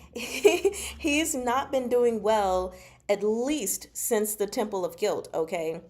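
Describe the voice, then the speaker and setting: A woman giving a casual, chatty book recap as a host, expressive and emphatic, often checking in with the listener. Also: mid-range voice, slow. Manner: expressive, conversational, emphatic, often checks in with "okay?" and "you know". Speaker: host of a book discussion vlog.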